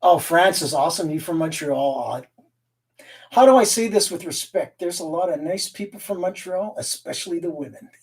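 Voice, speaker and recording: A man's voice: fairly high pitch, animated, normal speed, distant mic, rough recording. A man raised in Canada hosting a casual live stream, rambling, laughing often and reading the chat aloud. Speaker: livestream host and guitar collector.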